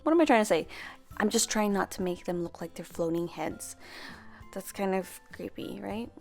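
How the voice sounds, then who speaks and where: A young woman talks through a stamping tutorial in a friendly, chatty voice-over at a medium pitch. She sometimes stumbles and corrects herself mid-sentence, and soft music plays underneath. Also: normal speed, vocal fry. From half Filipino, grew up speaking Tagalog. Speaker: planner stamping vlogger.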